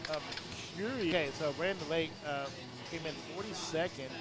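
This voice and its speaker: Guy hosting a pinball stream, rambly and jokey, kinda high voice. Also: moderate pace, over music. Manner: rambling, joking, lots of restarts. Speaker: stream host. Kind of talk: casual chat on a pinball stream.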